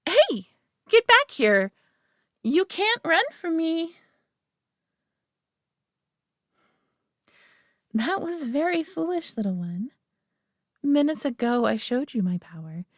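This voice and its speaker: A woman playing a prideful, possessive alien being in a roleplay audio drama, talking down to the listener with coaxing, deliberate care and dropping into whispers. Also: high-pitched, big pitch swings, no room sound, studio quality. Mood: commanding. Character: prideful, possessive female superior alien being, condescendingly caring.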